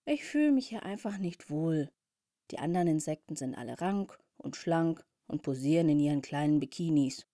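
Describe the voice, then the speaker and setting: A woman's voice: sits low, animated, moderate pace, mellow, no echo, studio quality. A woman voicing a plump young female bumblebee in a children's story, sighing and plain-spoken. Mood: glum. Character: plump young female bumblebee, self-conscious but brave.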